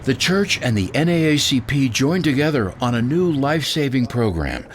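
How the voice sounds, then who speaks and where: Lively male news announcer. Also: mid-range voice, normal speed, a little hiss or hum. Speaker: news narrator. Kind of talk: scripted news narration. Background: music bed.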